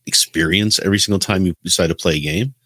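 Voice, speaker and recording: A man with a low, deep voice chats in a dry, close recording. His talk is casual and opinionated, pressing points and questions the way a gaming podcast co-host does. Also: quick pace, a bit bright, no room sound. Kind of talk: casual chat about video games.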